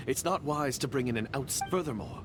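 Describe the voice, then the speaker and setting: A man's voice: mid-range voice, fairly quick, background noise, close to an everyday voice. A man playing a curt, suspicious and secretive agent in a video game, stern and skeptical in manner. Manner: stern, skeptical, clipped formal speech. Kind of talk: video game character acting.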